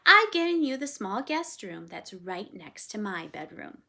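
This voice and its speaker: Woman doing a brisk, kindly older lady. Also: medium pitch, big pitch swings, creaky, sharp tone, heavily acted. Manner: firm and matter-of-fact. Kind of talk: storybook read-aloud.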